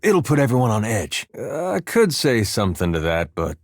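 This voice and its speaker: An actor playing an easygoing, wry farmer turned war veteran adventurer in a video game, with a folksy, drawling, dryly humorous delivery. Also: male voice, fairly low pitch, very animated, moderate pace, no room sound, studio quality. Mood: teasing, easygoing. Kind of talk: video game character dialogue.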